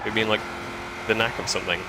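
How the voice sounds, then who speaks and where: A man from Scotland with a lowish voice, chatting with viewers as he races in a game. He talks in halting bursts, repeating words as he restarts sentences, with loud music and engine noise running behind him. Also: steady tone, low-quality recording. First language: English. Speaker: racing game streamer. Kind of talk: casual chat while live streaming a racing game. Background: music and race car sounds.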